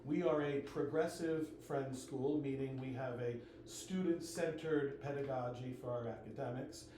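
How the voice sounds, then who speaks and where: A man, an enrollment manager, giving a relaxed school open house presentation with frequent ums. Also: mid-range voice, moderate pace. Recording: very echoey room, faint noise.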